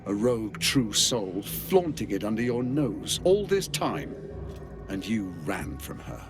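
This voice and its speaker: Casting: smooth scheming game villain, taunting and theatrical, very animated pitch, music underneath. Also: male voice, a little slow. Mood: mocking. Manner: sardonic, taunting, grandiose. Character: suave scheming male villain lord, mocking and theatrical. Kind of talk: video game villain acting. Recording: loud music behind the voice.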